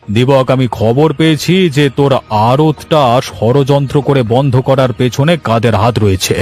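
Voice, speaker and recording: Casting: male performer for a village chief in a cartoon tale, mid-pitched, weighty, familiar with juniors. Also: normal speed, a little mellow, lightly acted. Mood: grave. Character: authoritative village headman bringing grave word.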